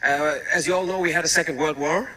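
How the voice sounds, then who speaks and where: A man's voice: fairly high pitch, fairly even intonation, fast, bright, forceful tone, rough recording. A man in his thirties from Germany performing a stand-up comedy routine, telling stories with joking asides. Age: 30s. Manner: storytelling with joking asides and mock-broken quotes. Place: comedy stage before a live audience. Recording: crowd audible in a live venue.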